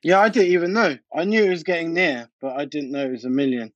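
A man's voice: on the high side, fast talker, no echo, lo-fi sound. A British man talking casually and anecdotally as a DJ and producer being interviewed, in informal, colloquial speech. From United Kingdom. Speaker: interview guest, DJ and music producer.

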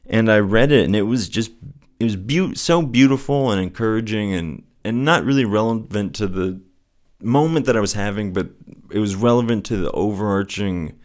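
A man with a soothing voice speaking in an earnest, gently encouraging way, as the host of a personal faith show. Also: fairly low pitch. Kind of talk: casual reflective solo talk with scripture reading.

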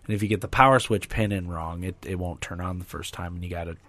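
A man hosting a casual gaming podcast, hoarse and raspy from a recently lost voice, rambling with many restarts. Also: low-pitched, fairly even intonation, quick pace, a bit bright. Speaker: podcast host.

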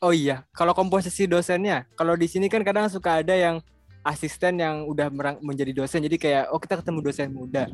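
Male co-host, Indonesian accent, fairly high voice, inquisitive and casual, dry recording, music bed. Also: fairly even intonation, fast talker, dry recording. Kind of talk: casual interview chat.

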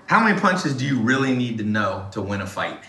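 A man hosting a casual motivational talk, blunt and animated, swearing and jumping between topics. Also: medium pitch, brisk. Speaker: host. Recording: echoey room, faint noise.

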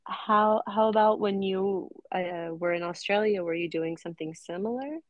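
A woman's voice: mid-range voice, moderate pace, dry recording, dull audio. An American woman hosting a casual interview, chatty and laughing often. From United States. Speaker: podcast host.

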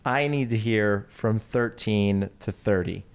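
A man's voice: on the low side, slow pace, vocal fry, clear, resonant tone. A man hosting a casual podcast interview in an upbeat, chatty, welcoming manner. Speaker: podcast host.

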